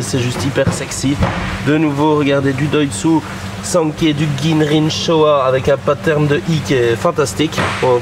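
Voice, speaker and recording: Male travel-show host, higher register, jokey and exclamatory, noisy location sound. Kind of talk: casual vlog presenting. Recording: loud background noise, vehicles.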